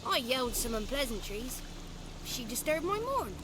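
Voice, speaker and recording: A woman's voice: sits high, sing-song, moderate pace, background noise. A woman voicing a crude, playful little boy creature in a video game, speaking in a wordy, theatrical way. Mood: boastful storytelling. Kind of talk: video game character acting.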